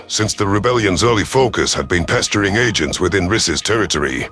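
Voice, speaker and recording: A man narrating a sci-fi story in character as a stern, calculating alien commander, formal and deliberate, over music. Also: low voice, fairly bright tone.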